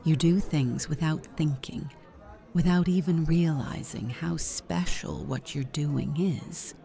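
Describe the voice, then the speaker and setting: A woman acts out a sincere, jealous and flirtatious aunt for a visual novel game. Her voice is soft, dark and mellow, close and breathy in delivery. Faint music plays behind her. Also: low voice, takes it slowly, heavily acted. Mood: tender, admiring.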